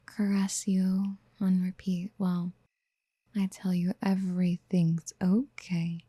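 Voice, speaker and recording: A woman playing a doting, possessive, motherly yandere in an ASMR roleplay, speaking in soft, breathy whispers with tender coaxing, close to the mic. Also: mid-range voice, no room sound, full character voice. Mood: possessive, soothing.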